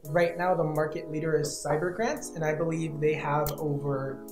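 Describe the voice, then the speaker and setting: A man's voice: fairly high pitch, fairly even intonation, normal speed, over music. A young man in his twenties from Peru, a startup founder answering interview questions earnestly and listing his points one by one, on a lapel mic in an office. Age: 20s. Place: glass-walled open office, indoors. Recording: roomy office echo.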